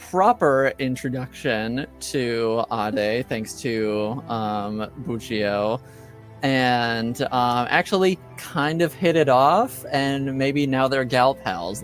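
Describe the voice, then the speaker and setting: A man hosting a tabletop roleplaying game as game master, recapping casually and haltingly as he searches for words. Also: medium pitch, faint music behind.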